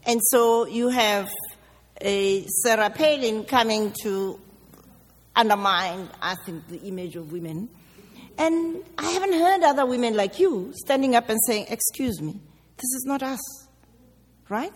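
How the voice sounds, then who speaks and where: Brief: South African woman, guest speaker at a public Q&A, deliberate, emphatic delivery, long hall reverb. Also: fairly high pitch, animated. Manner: deliberate, emphatic, rhetorical questions. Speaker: guest speaker answering audience questions. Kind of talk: public talk, question and answer.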